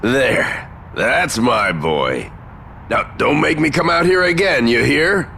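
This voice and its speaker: An actor playing a cocky, taunting but gruffly fond middle-aged father and warrior in a fantasy video game, with a gravelly voice and a swaggering, blunt manner. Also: medium pitch, very animated, takes it slowly, sharp tone, slight background noise, heavily acted. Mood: teasing, fond.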